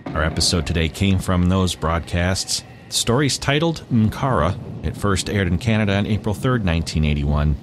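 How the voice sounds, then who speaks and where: Very deep-voiced male podcast host. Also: lively intonation, normal speed. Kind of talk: scripted introduction of old radio shows. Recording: clear background noise.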